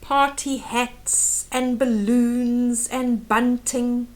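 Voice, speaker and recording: A woman reading a children's story aloud with animated, dramatic emphasis and explanatory asides. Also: on the high side, very slow.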